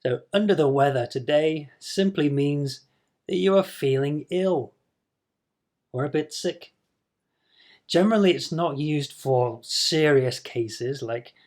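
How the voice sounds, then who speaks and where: Male pronunciation teacher, unhurried and patient, middle pitch, demonstrates sounds carefully to camera. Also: darkish tone. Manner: patient, demonstrates sounds slowly and repeats them. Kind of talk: slow explanatory video lesson to camera.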